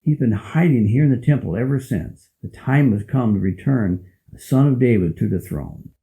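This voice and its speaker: A man playing a resolute, devout old priest with a measured, authoritative manner in an audio Bible drama. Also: mid-range voice, lively intonation, mellow, natural voice. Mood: solemn.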